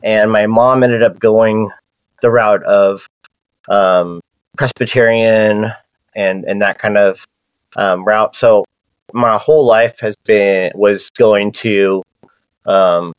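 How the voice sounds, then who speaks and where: Thirties man, lowish voice, rambling casual storyteller, dry mic. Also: clean tone, dry recording, low-quality recording. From Southern California. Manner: loose, many fillers and restarts. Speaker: podcast guest.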